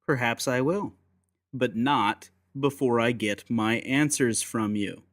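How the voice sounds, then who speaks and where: An actor playing a ruthless gentleman secret agent, speaking with deliberate, courteous menace in a dramatised audiobook. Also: mid-range voice, no echo, studio quality. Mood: controlled anger.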